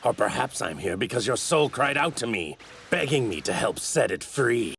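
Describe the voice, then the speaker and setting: An actor in an English anime dub playing an arrogant, sadistic god-like villain with a haughty, theatrical delivery and a gravelly, sharp-edged voice. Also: male voice, medium pitch, big pitch swings, normal speed. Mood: smug, intrigued. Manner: haughty, theatrical, savoring his words. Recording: ripped anime audio with faint noise.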